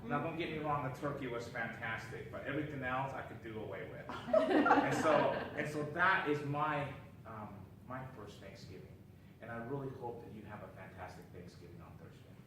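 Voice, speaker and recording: Man, from Chile, animated storytelling, distant mic, noisy echoey meeting room. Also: medium pitch, a little fast. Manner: animated, laughs often, asks the room rhetorical questions. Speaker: club member giving an impromptu speech. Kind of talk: storytelling speech. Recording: echoey room, far from the mic, clear background noise.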